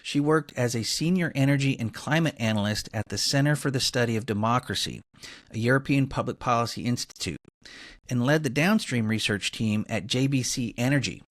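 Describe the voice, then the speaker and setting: A man's voice: mid-range voice, no echo, clean studio sound. A man speaking as a podcast host, introducing and interviewing guests.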